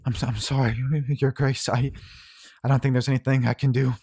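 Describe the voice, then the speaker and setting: Man voicing a husky, gentle elderly woman. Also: medium pitch, level tone, fairly quick, pitched above the performer's own voice. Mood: distressed apology. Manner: apologetic, soothing, devoted. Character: gentle, loyal elderly woman tending the wounded.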